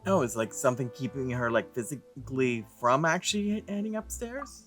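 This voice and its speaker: Very animated, casual let's play streamer. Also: medium pitch, brisk, faint music behind. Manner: thinking aloud, playful. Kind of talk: casual gameplay commentary.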